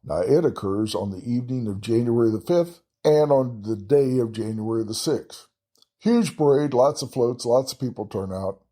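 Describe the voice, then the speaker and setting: A man's voice: medium pitch, moderate pace, mellow. A man with a Texan accent hosting a travel podcast, talking casually and folksily with chatty self-deprecating asides. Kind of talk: casual solo travel talk.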